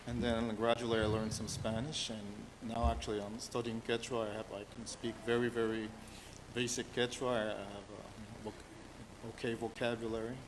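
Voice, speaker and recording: A man's voice: fairly low pitch, little pitch movement, moderate pace. Middle-aged new york guy talking about his music, lots of you knows, echoey sound with a little hiss. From New York City. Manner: earnest, many restarts and "you know"s. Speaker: musician interviewed as guest. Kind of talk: casual interview answers. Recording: long reverb, faint background hiss.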